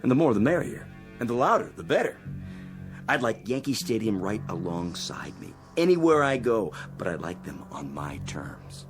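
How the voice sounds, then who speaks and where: A man's voice: fairly low pitch, very animated, normal speed, over music. An American actor playing a restless, resentful spaceman crewmate with a sarcastic, theatrical delivery, in mid-century television science fiction style. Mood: defiant. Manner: sarcastic, griping, theatrical swings of emphasis.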